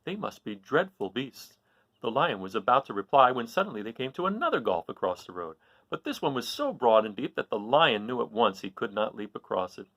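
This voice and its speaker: A man reading a children's story aloud with expressive, dramatic and emphatic phrasing. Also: mid-range voice, brisk, no echo.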